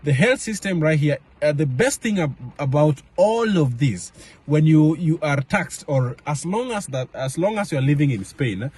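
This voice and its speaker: A young man from Kenya talking casually to camera in a vlog, halting with many fillers and restarts. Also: a little high, big pitch swings, a little mellow, slightly rough. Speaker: vlogger. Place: home in Madrid area.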